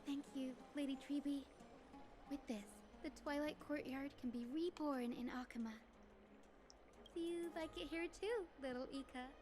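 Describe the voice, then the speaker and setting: A woman's voice: high voice, moderate pace, natural voice. A woman playing a sweet, nurturing young woman, courteous and earnest, in a video game. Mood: gentle. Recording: game music playing behind the voice.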